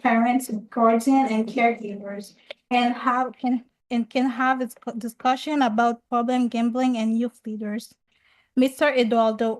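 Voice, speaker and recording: A woman's voice: fairly high pitch, low-quality recording. A young woman hosting a youth podcast, reading scripted lines with frequent stumbles and restarts. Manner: halting reading with stumbles over words. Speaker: youth leader host.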